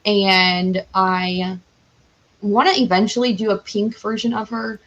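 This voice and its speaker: A woman's voice: medium pitch, flat delivery, unhurried, fairly bright tone, muffled recording. Chatty, enthusiastic young woman. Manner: enthusiastic, rambling, heavy use of "like". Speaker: podcast guest, cosplayer. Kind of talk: casual chatty interview.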